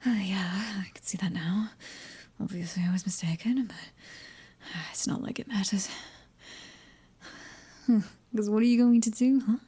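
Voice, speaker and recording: A British woman voicing a cocky, fiery mercenary in an ASMR roleplay, teasing and breathy, often dropping close to a whisper. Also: medium pitch, normal speed, long pauses, dark tone. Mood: goading. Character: fiery, cocky young female mercenary, prickly and taunting. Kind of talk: ASMR audio roleplay acting.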